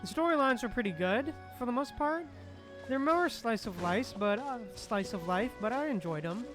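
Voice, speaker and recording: Game music plays clearly behind a livestream host with a mid-range voice. The talk is casual and conversational, aimed at viewers in chat. Phrases often come back a second time as the host replies. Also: mid-range voice, lively intonation, normal speed. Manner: repeats phrases, replying to chat.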